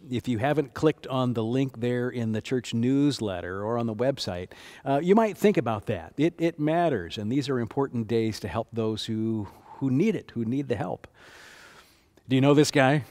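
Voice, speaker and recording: Animated older pastor giving announcements. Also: male voice, medium pitch. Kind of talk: church announcements. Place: church sanctuary.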